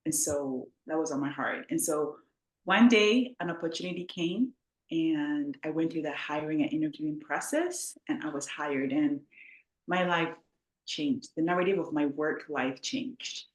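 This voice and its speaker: Woman from Ghana, deep voice, heartfelt storytelling, roomy echo. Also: unhurried. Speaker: guest author. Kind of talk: interview storytelling. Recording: echoey room.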